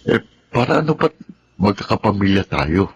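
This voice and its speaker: An actor playing a kindly, teasing older cousin in a Tagalog radio drama. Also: male voice, lowish voice, very animated, moderate pace, lo-fi sound, a little hiss or hum. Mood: warm, easygoing.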